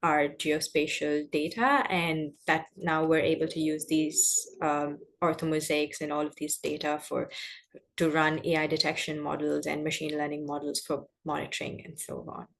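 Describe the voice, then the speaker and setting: A woman giving a halting, explanatory webinar presentation over a video call. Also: low-pitched, flat delivery, creaky. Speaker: guest speaker.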